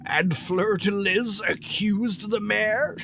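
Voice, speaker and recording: A sinister phantom monster in an audio drama. The voice is high and bright, unhurried and theatrical, full of mockery and menace. A little noise sits in the recording. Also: male voice, fairly even intonation, gravelly, full character voice. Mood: mocking amusement.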